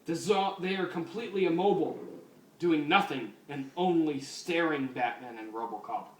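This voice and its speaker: A man giving a deliberate, melodramatic reading of a fan fiction story. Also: a little high, background noise. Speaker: story reader. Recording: roomy, distant mic, music behind.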